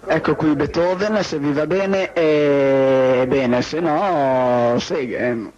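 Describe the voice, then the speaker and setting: A man's voice: on the high side, extremely slow, crowd noise. A man voicing a besieged pirate radio host, reporting live in an improvised way over a radio. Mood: tense, wry. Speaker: radio broadcaster. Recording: dull lo-fi radio archive sound, music behind.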